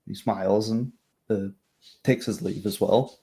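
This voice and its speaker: A man with a fairly low voice runs a tabletop fantasy game. He narrates scenes as game master, improvising as he goes. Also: steady tone, unhurried, mellow.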